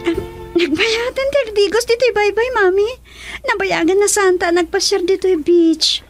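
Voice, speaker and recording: A woman's voice: very high voice, moderate pace, faint music behind. An actress in an Ilocano radio drama playing a cheerful young schoolgirl daughter with a Filipino accent. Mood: cheerful, eager.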